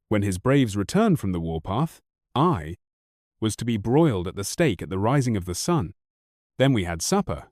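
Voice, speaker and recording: A man narrating an audiobook story in a wry, expressive first-person manner, recorded close on a studio mic. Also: medium pitch, no room sound.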